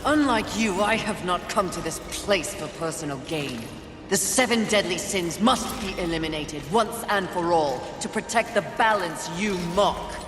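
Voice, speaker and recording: A woman's voice: fairly low pitch, lively intonation, moderate pace, lightly acted. An actress playing a fierce, proud, impatient female horseman of the apocalypse in a fantasy game, with a haughty, defiant manner. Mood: defiant, angry. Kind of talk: dramatic fantasy game cutscene acting. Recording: echoing reverb, music underneath.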